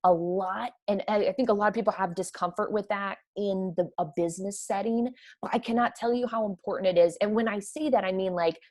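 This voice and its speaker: Chatty Midwestern woman. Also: mid-range voice. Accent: Midwestern American. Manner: energetic, chatty, quick to laugh. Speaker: podcast guest. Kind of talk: casual conversation.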